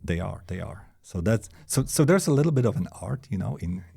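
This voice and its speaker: A man's voice: sits low, big pitch swings, a little fast, vocal fry, mellow, slightly rough. A man in his forties from Poland with a Polish accent, talking casually as an interview guest, often restarting words and filling in with "you know" and "hey". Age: 40s. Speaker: guest, director of engineering.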